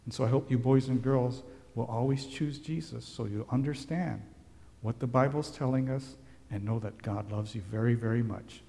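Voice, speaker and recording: A man who grew up in Hawaii, giving a gentle children's sermon as a pastor and asking the children questions. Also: medium pitch, moderate pace, gravelly. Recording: long hall reverb.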